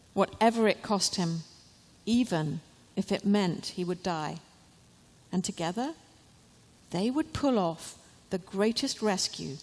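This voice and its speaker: A woman reads a children's storybook aloud at an unhurried pace. Her delivery is expressive, built on short dramatic phrases, and her mid-pitched voice carries long reverb from a large hall. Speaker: author reading her children's book. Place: large church, live event.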